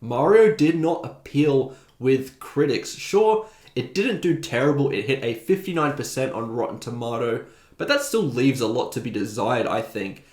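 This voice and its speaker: A man's voice: mid-range voice, lively intonation, normal speed, darkish tone. A man hosting a video essay, giving opinionated, conversational commentary. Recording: roomy, echoing voice recording.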